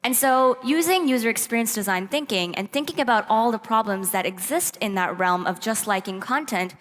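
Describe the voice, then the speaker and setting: A woman's voice: fairly high pitch, fairly bright tone. A woman from Pakistan giving a prepared conference talk as a presenter on stage in a large hall. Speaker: presenter at a youth tech summit. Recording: echoey hall, long reverb.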